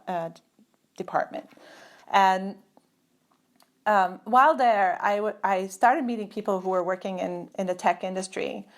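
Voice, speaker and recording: Woman guest, middle-aged, from Turkey; thoughtful, filler-heavy delivery on a video call. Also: fairly low pitch. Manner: thoughtful, many fillers and restarts. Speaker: guest, computer scientist. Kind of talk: conversational interview.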